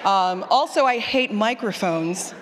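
A woman presents informally at a conference session through a handheld mic. Her mid-pitched voice rings with long hall reverb. She is chatty, often cutting herself off for joking asides. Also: lively intonation, a little slow, a little hiss or hum. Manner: chatty, self-interrupting, jokey asides. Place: large room with an audience. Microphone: handheld microphone.

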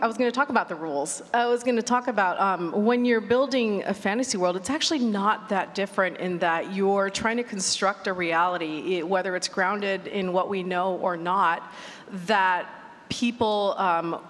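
A woman's voice: mid-range voice, moderate pace. A woman on a live panel, explaining her creative craft in a conversational way. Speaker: audio fiction creator on a panel. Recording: echoey hall, clear ambient noise.

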